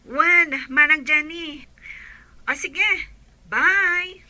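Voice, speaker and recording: A woman's voice: high voice, extremely slow, pushed and bright, no room sound, heavily acted. A woman with a Filipino accent voicing a polite, eager young girl in a radio drama.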